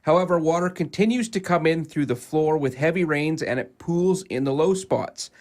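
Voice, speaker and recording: A man's voice: fairly high pitch, normal speed, a little mellow. A man co-hosting a radio show, reading aloud from listener mail in a plain presenting style.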